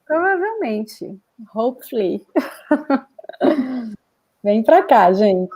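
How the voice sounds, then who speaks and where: Higher-pitched Brazilian woman teaching. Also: very animated, very slow, darkish tone, husky, rough recording. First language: Portuguese. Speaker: language teacher. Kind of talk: informal live online lesson.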